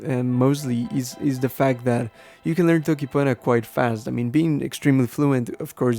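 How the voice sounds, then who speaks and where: A man whose first language is Spanish, explaining things casually and haltingly as a podcast host, thinking aloud as he goes. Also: mid-range voice, warm tone. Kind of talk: casual unscripted explaining to listeners.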